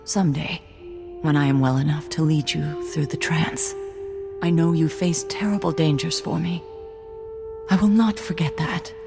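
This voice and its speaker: A man playing a weary, wise mentor in a video game, speaking in a measured, solemn way. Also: fairly high pitch, fairly even intonation, gravelly, music in the background. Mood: weary, grave. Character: weary, wise male Jedi master, calm and grave. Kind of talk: dramatic video game acting.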